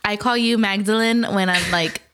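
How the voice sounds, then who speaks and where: A woman's voice: mid-range voice, fairly even intonation, normal speed, a bit bright, clean tone. A woman co-hosting a podcast in casual chat, teasing her co-host in playful banter.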